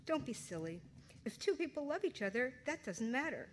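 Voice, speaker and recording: A woman's voice: high-pitched, sing-song, normal speed, slight background noise, pitched above the performer's own voice. A woman reading aloud and voicing an awkward middle-aged mother who answers hesitantly and uneasily. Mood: flustered.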